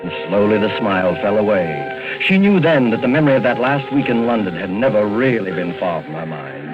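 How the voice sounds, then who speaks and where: A man's voice: fairly low pitch, very animated, brisk. A man acting as a brooding storyteller, narrating in the suspense style of 1940s radio. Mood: brooding, measured. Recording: muffled old radio, music underneath. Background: loud music.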